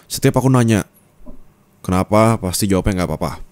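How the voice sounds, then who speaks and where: A young man speaking an ASMR roleplay monologue as a disappointed boyfriend, low and intimate, scolding and at times rising to anger. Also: fairly quick, darkish tone, smooth.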